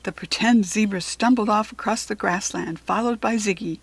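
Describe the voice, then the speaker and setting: A woman with an American accent reading a children's book aloud in expressive narration, with a breathy voice. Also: mid-range voice, normal speed, no room sound.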